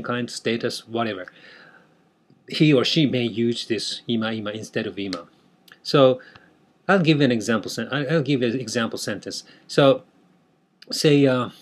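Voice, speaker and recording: Hesitant Japanese-accented man explaining slang. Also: mid-range voice. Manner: hesitant, many fillers and restarts. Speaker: language teacher. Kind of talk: unscripted explainer video.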